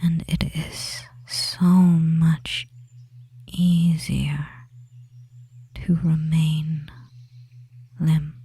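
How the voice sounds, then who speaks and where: A performer voicing a devious, dominant femboy witch in a breathy ASMR whisper, speaking hypnotically with long pauses. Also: medium pitch, completely flat delivery, warm tone, full character voice. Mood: soothing, dominant.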